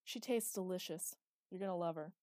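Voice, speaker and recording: A woman voicing a reckless, bloodthirsty man who shouts and taunts loudly. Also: on the low side, brisk, no echo, clean studio sound, close to an everyday voice. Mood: gleeful, taunting. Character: reckless bloodthirsty young man, gleeful and crude.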